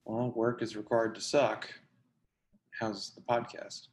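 A man's voice: mid-range voice, little pitch movement, slow, close to an everyday voice. A man playing a supportive, wry young writer in a play reading, talking casually and reasonably. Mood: encouraging, wry. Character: supportive, prodding young male writer friend serving coffee.

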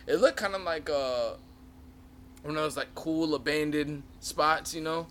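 A man's voice: a little high, measured pace, a bit bright, slight background noise. A young man in his twenties co-hosting a podcast, chatting loosely with profanity and frequent laughter. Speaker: podcast co-host. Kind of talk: casual chat.

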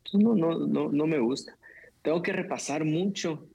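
A man who preaches, talking casually in conversation, animated and quick, with filler words and laughs. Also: a little high, gravelly, slight background noise, low-quality recording.

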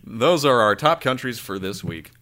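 A man co-hosting a podcast in casual comic banter, playful, giggly and quick to exclaim. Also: medium pitch, lively intonation, pushed and bright. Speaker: podcast co-host.

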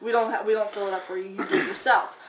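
A woman with a small, soft voice, telling restaurant-work stories in casual chat and mimicking customers. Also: medium pitch, fairly even intonation, rapid-fire, echoey room, a crowd in the background. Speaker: restaurant worker, podcast guest.

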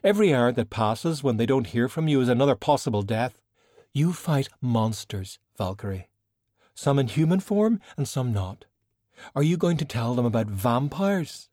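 Audiobook role: elegant, cool veteran sorceress, acted by a man. Formal, measured, admonishing, dry studio sound. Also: medium pitch, darkish tone, no echo, pitched above the performer's own voice, natural voice. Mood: stern warning. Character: worldly, composed, cool woman sorcerer, long-lived and stern.

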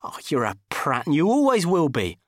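Guy acting a fed up divorced bloke, sarky and nagging, highish animated voice, comic audiobook. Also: normal speed, dry recording, clean studio sound. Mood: seething. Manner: scolding, sarcastic, emphatic. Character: exasperated, nagging divorced man, sarcastic and long-suffering.

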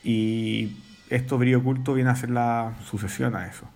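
A Chilean man, a musician guest, talking in a relaxed, rambling way with many fillers. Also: mid-range voice, normal speed, creaky, soft background music. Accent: Chilean Spanish. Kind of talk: casual interview chat.

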